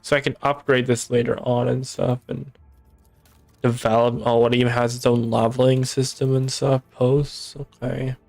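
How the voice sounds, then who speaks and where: A young man streaming a game, hoarse and tired, rambling casually as he thinks aloud. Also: mid-range voice, little pitch movement, normal speed, a little mellow, no room sound, soft background music. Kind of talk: casual live-stream commentary.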